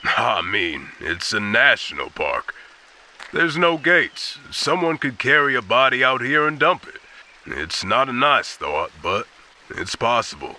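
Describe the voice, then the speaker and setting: A man's voice: medium pitch, very animated, unhurried, sharp tone, a little hiss or hum, full character voice. An actor playing a laconic, matter-of-fact male park ranger.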